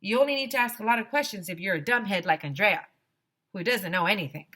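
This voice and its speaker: A woman teacher reading a children's chapter book aloud in an expressive, animated storytelling style. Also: medium pitch, quick pace. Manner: expressive, dramatizes the dialogue.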